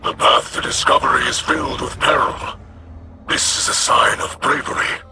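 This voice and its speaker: An actor playing a grave, honorable alien warrior in a video game, speaking formally in a breathy, growling rasp. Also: male voice, medium pitch, steady tone, normal speed, heavy background noise, close to an everyday voice. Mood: solemn resolve. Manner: solemn, formal speech without contractions. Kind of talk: scripted video game dialogue. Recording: music behind the voice.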